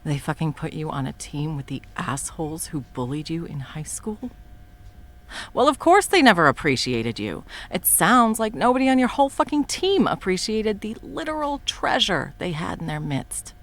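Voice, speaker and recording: A woman's voice: lowish voice, sing-song, normal speed, a bit bright. A woman in her thirties voicing a profane, blunt woman supervillain in an audio roleplay, mocking at first and then coaxing with pet names. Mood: indignant. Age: 30s. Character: sharp-tongued woman supervillain, long-time arch-enemy.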